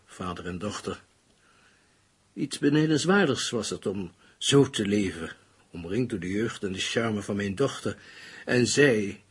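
An actor playing a grieving middle-aged father in a radio drama, formal and halting. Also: on the low side, breathy edge, full character voice. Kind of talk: Dutch radio drama acting.

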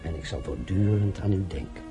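An actor playing an aged general in a radio play, speaking slowly, solemnly and in a fatherly way. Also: low-pitched, expressive, over loud music. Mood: grave. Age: older.

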